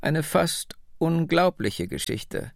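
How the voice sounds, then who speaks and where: Audiobook role: man as proud woman in her nineties, wistful storyteller, unhurried delivery, creaky edge, measured and dramatic. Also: a little high, animated, no room sound, studio quality, pitched above the performer's own voice, heavily acted. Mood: wistful, earnest. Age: 90s.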